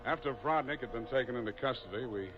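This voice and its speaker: A man's voice: mid-range voice, fast talker, natural voice. Man voicing a hard-boiled detective, terse narration, dull old radio recording, music behind. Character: hard-boiled male private eye narrator. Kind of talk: 1940s radio drama acting.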